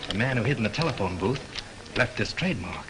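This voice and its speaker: An actor playing a sharp male mystery writer who lays out a murder case with brisk confidence, in 1930s film style. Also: on the low side, expressive, background noise, close to an everyday voice. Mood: confident. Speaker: mystery writer turned sleuth.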